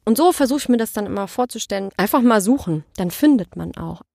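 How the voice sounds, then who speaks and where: A woman speaking as an interview guest in casual chat, telling things in an animated, slangy way. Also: medium pitch, fast, creaky, dry recording, clean studio sound. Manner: animated storytelling with colloquial slang.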